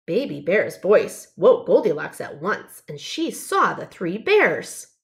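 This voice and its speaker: A woman's voice: mid-range voice, sing-song, slow pace. A woman narrating a children's storybook, reading aloud carefully and expressively.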